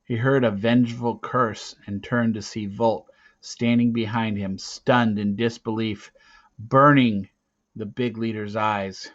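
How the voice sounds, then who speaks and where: Slow, low-voiced man narrating a story. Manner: dramatic, expressive delivery. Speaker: reader of a children's novel. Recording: dull, lo-fi recording.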